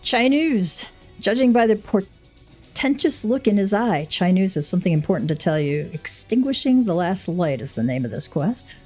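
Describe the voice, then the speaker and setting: A woman's voice: medium pitch, expressive, moderate pace, darkish tone, breathy edge, faint music behind. A woman game streamer reading on-screen story text aloud live and adding short comments.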